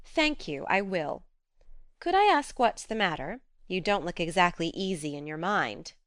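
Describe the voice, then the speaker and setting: A woman's voice: on the low side, very animated, a bit bright, no echo, studio quality. A woman reading an audiobook, voicing a frank, spirited young woman who coaxes and scolds directly. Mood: coaxing. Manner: coaxing, brisk and direct.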